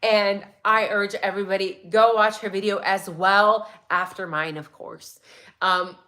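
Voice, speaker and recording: A woman hosting a casual true crime livestream, chatty and excitable, her voice a little raspy today. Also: mid-range voice, a little slow. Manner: chatty, excitable, many "like"s and "right?"s. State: slightly raspy voice.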